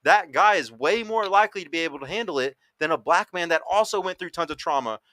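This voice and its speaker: A man in his thirties holds forth on a dating podcast, quick and emphatic and not shy about swearing. His voice is bright and forward. The sound is close and dry. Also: a little high, expressive, no room sound. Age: 30s. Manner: emphatic, blunt, swears freely. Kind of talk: casual panel talk.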